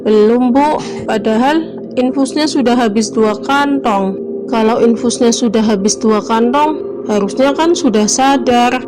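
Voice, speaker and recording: A woman voicing a worried young mother in a cartoon, talking softly and anxiously. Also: a little high, unhurried, lightly acted. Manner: anxious, soft-spoken. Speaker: mother of a sick child. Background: background music.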